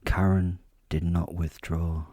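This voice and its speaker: A man's voice: deep voice, flat delivery, slow, mellow, no room sound, clean studio sound. A man playing a wry werewolf killer who narrates his own story in a first-person audio drama. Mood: wry, observant.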